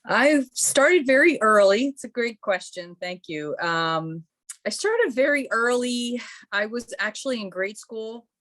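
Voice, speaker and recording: A woman's voice: fairly high pitch, animated, measured pace, no room sound. A woman born in Croatia, a musician guest in a podcast interview, telling stories in a chatty, friendly way. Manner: warm, chatty storytelling with laughs. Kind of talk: casual interview.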